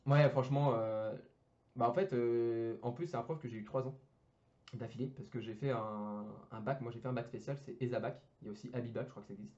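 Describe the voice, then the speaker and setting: Fast-talking young man, hesitant and slangy. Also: mid-range voice, fairly even intonation, a little mellow, lo-fi sound. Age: young adult. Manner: hesitant, many fillers and restarts, slangy. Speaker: engineering student guest. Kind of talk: casual podcast chat.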